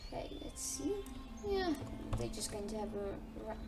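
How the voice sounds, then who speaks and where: A woman with a fairly high voice narrating her strategy game as she plays, thinking out loud and asking her viewers for their opinions. Her mic sits a little away from her, and loud game music plays behind her the whole time. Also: big pitch swings, moderate pace, vocal fry, recorded from a distance. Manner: thinks aloud, talks to viewers. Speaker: gamer playing a strategy game. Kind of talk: casual let's-play commentary.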